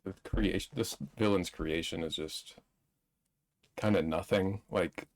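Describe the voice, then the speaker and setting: A man with a low-pitched voice, talking casually as a co-host. His speech is informal and conversational, as in an offhand film review. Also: unhurried. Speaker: movie review show co-host. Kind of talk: casual chat, film discussion.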